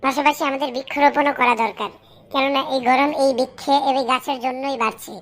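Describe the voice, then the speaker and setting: A woman's voice: sits high, flat delivery, a little fast. A woman voicing a polite, eager schoolchild in a cartoon. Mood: friendly. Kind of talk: didactic cartoon dialogue.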